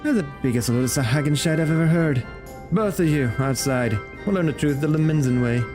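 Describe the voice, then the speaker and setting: A man voicing a gruff, suspicious, foul-mouthed chief from a game, scornful and challenging. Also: fairly high pitch, brisk, over music, natural voice. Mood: scornful, angry. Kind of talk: reading game dialogue aloud in character.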